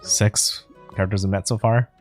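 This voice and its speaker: A man's voice: lowish voice, fairly even intonation, normal speed, a little mellow, soft background music. A man giving casual let's play commentary as he streams a video game.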